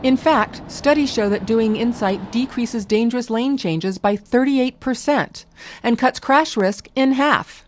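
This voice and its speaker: A woman giving scripted narration for a documentary in a measured, clear way. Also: a little high.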